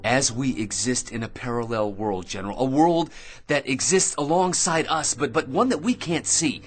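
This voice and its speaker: An actor with an American accent playing a shaken astronaut major in a radio drama, earnest and halting as he insists on being believed. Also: mid-range voice, lightly acted. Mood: urgent, insistent. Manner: earnest, halting with repeated words. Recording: radio drama with music underneath.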